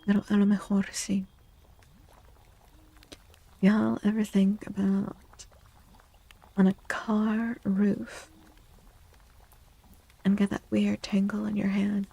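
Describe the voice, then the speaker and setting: A woman speaking softly and breathily in a gentle, unhurried near-whisper, chatting as an ASMR livestream host and often softly repeating phrases. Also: mid-range voice, completely flat delivery, poor audio. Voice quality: creaky. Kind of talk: soft-spoken ASMR livestream chat.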